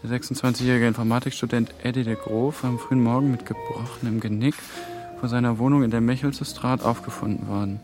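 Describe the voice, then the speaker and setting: Sceptical young trainee, played by a man, medium pitch. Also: fairly even intonation, natural voice. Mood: subdued reading. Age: young adult. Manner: questioning, measured, sceptical. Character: sceptical, careful young male EU trainee, loyal to mentor. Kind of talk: German radio drama dialogue. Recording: music behind the dialogue.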